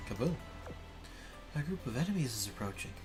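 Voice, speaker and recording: A man's voice: mid-range voice, very animated, brisk. A man narrating a video game as he plays, mixing read-out text with short offhand commentary. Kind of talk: reading visual novel dialogue aloud with brief game commentary. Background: game music.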